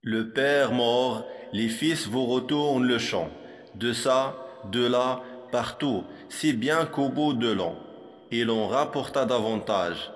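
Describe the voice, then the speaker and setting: Slow-reciting man in long reverb. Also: medium pitch. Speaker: poem reciter for a primary school lesson. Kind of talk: poetry recitation.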